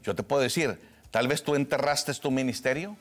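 A man, preaching a fervent televised sermon that swings from soft to loud and emphatic. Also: mid-range voice, moderate pace, faint music behind. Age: 60s. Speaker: pastor.

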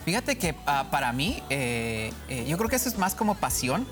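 Man with a Mexican-tinged accent, halting. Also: on the high side, very animated, music in the background. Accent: Mexican-tinged Spanish. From Guatemala. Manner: hesitant, many restarts and fillers. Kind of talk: conversational interview answers.

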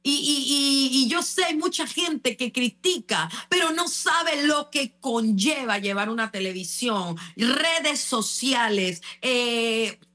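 Lady preacher, fired up, bright sharp voice, kinda high. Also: expressive, slow pace, hardly any pauses. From Panama. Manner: fervent, exhorting, sometimes shouting. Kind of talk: broadcast sermon.

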